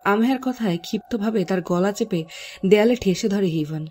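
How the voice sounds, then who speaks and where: A woman's voice: medium pitch, normal speed, mellow, breathy edge. A woman reading scripted story narration as the narrator.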